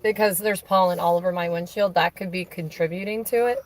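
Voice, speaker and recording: A woman with a hoarse, raspy voice chatting casually on a live stream, rambling with many fillers and restarts. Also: lowish voice, steady tone, fairly quick. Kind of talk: casual chat on a phone call during a stream.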